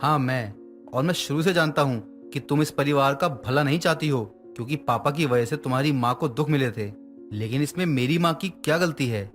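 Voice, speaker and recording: A man's voice: mid-range voice, normal speed, a bit bright, soft background music, natural voice. A man playing a protective young stepbrother in a moral story, speaking earnestly and firmly. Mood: reproachful. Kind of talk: dramatized moral story acting.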